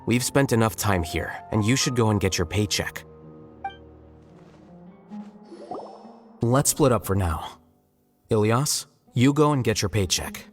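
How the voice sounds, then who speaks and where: A man voicing a calm, rational young scholar in a video game, measured and detached. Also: fairly low pitch, soft background music, close to an everyday voice. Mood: composed. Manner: measured, detached, matter-of-fact.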